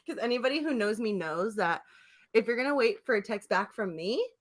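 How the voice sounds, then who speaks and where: A woman co-hosting a casual comedic podcast, telling stories in an animated, slangy way, acting out her replies and laughing often. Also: a little high, moderate pace. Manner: animated storytelling, acts out her own text replies. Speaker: podcast co-host.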